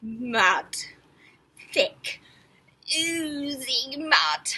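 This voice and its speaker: A woman reading a children's picture book aloud in a theatrical, expressive way, with gasps, whispers and drawn-out words. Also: high-pitched, husky. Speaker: teacher reading a bedtime story for schoolchildren.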